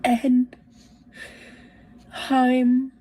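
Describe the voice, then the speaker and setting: A woman streamer talking personally to camera in a halting, emotional, self-reproaching way. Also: high-pitched, completely flat delivery, dark tone. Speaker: streamer, in a clip being reacted to. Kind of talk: personal confessional talk to camera.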